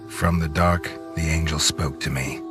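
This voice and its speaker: A man playing a brooding vampire who narrates a noir video game in a terse, grim, deadpan way. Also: a bass voice, measured pace, over music. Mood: bleak. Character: brooding gravel-deep male vampire, hardboiled noir narrator. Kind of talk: scripted first-person noir game narration.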